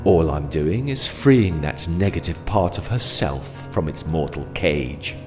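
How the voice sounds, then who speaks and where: Audio drama villain: sinister, silky gentleman manipulator, extremely low, unhurried delivery. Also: animated, faint music behind. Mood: gloating. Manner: slow, gloating, silky menace. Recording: long cavernous reverb.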